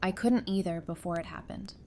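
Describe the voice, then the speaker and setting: Woman acting low, mellow, supportive friend. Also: a little fast, natural voice. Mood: reflective, reassuring. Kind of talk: fan audio drama dialogue. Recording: background noise with low rumble.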